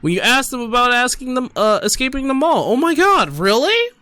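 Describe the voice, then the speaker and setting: A man's voice: very high-pitched, very animated, brisk, sharp tone, dry recording, soft background music. A man giving casual, exasperated gaming commentary while reading posts aloud, repeating himself for emphasis. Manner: exasperated, incredulous, emphatic with repetition. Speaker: gaming streamer and host.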